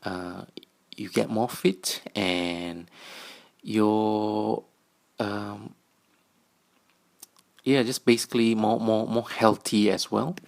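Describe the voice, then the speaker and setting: Slow, gravelly young man vlogging. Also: fairly low pitch, steady tone. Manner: halting, many ums and restarts. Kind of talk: casual vlog monologue.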